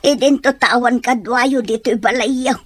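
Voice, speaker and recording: A woman's voice: a little high, fairly even intonation, moderate pace, lightly acted. A woman playing a loving, sorrowful elderly grandmother in an Ilocano radio drama, with an Ilocano accent. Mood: loving, concerned.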